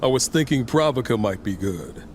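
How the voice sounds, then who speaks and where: Actor as loyal game sidekick, mid-pitched male voice, casual, brief phrases, faint background noise. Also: lively intonation, normal speed, a little mellow, lightly acted. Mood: casual, supportive. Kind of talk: dramatic video game cutscene acting.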